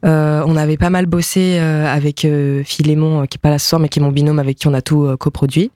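Musician guest, man whose first language is Japanese, hesitant, slightly high voice, dry sound. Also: flat delivery, quick pace, mellow, dry recording. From France, near Nantes. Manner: hesitant, many fillers and restarts. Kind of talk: interview answers.

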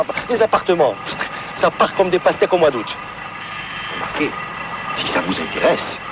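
An actor playing a talkative pied-noir man with an Algerian French accent, selling flats in a 1970s film. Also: medium pitch, noisy background. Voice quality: talkative, pushy salesman patter. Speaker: property salesman, former tram driver.

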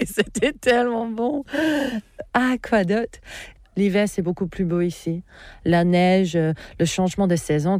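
A woman's voice: on the low side, dry recording, studio quality. Australian woman chatting in French, laughing. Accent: Australian English. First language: English. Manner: animated, laughs often, searches for words. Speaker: interviewee. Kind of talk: casual interview chat. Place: Orbe area in the mountains.

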